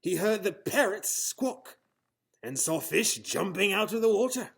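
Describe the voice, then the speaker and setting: A man reading a children's storybook aloud in a theatrical, expressive style. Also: sits high, measured pace, gravelly, slightly rough. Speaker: storybook reader. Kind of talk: dramatic children's storybook narration.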